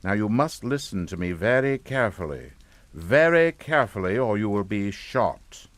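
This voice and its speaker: An actor playing a politely menacing upper-class English father in a radio suspense drama, speaking in a clipped, formal, deliberate way. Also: fairly low pitch, animated, no echo, natural voice. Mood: calm menace. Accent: British.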